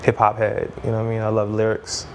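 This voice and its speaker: A man's voice: on the low side, monotone, fast, a little hiss or hum. A man in his thirties from Trinidad, raised in Brooklyn, telling stories in a loose, rambling interview way. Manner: loose, rambling, lots of "you know what I mean". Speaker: music executive guest. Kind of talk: casual interview storytelling.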